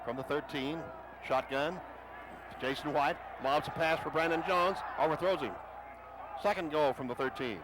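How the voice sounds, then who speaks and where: A man calling a football game play by play for radio, rising in pitch and volume on big plays. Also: normal speed, a crowd in the background. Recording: noisy stadium broadcast.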